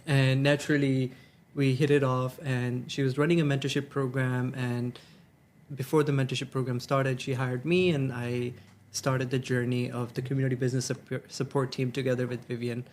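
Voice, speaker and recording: A young man, answering interview questions in an earnest, measured way. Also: medium pitch, little pitch movement, a little mellow, slight background noise. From Hong Kong. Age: 20s. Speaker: senior associate at a nonprofit.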